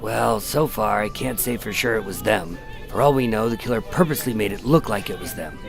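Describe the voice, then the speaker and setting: A measured, dogged undercover fed played by a man works his case in a radio crime drama. His voice is gravelly and understated, with music running underneath. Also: fairly low pitch. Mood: probing. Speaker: voice actor. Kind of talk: radio drama acting and narration. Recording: music behind the voice.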